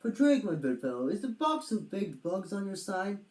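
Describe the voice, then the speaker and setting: A woman's voice: medium pitch, sing-song, moderate pace, darkish tone, roomy sound, far from the mic, voice pushed up, close to an everyday voice, rough recording. A woman voicing a confident, chummy male storybook turtle with theatrical, old-fashioned explorer pomp. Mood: hearty.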